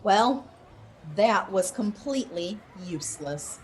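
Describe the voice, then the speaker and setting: A woman's voice: mid-range voice, animated, slow pace, faint music behind. A woman playing a skeptical, weary police officer with a dry, blunt manner.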